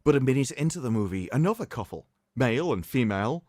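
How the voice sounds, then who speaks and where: Man, sarcastic livestream host, theatrical mock reading, lively swooping delivery, close dry sound. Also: medium pitch, no echo. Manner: sarcastic, theatrical, drawn-out emphasis. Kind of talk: mocking dramatic reading of an article with commentary.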